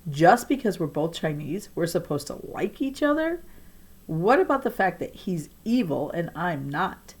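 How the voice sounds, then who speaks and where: Slow-paced woman reading a story aloud. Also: fairly low pitch, big pitch swings, slightly rough. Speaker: audiobook-style reader of a children's novel. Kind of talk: expressive read-aloud narration.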